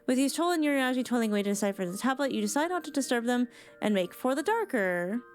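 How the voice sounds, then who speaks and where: Woman, game streamer, casual reaction commentary, chatty, musing, slightly high voice, soft music behind. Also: lively intonation, fast talker.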